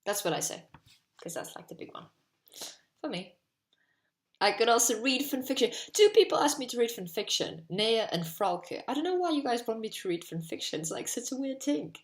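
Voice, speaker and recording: A woman's voice: on the high side, very animated, normal speed, reverberant, a little hiss or hum. A 19-year-old woman from Portugal with a Portuguese accent, chatting casually and rambling to camera from her bedroom, full of "like". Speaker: vlogger.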